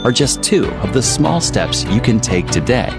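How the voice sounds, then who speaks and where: A man's voice: medium pitch, sing-song, moderate pace. A man with an American accent reading a scripted public service announcement for radio. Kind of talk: scripted narration. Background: loud music with guitar.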